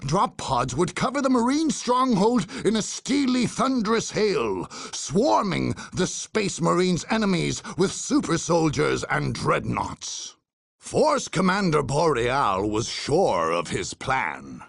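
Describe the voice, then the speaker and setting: A grave, menacing game chronicler, with a creaky, gravelly voice that swings widely in pitch. He tells it slowly and theatrically over faint music. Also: dry recording, studio quality, exaggerated voice. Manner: theatrical, deliberate storytelling. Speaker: narrator. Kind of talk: dramatic scripted game narration.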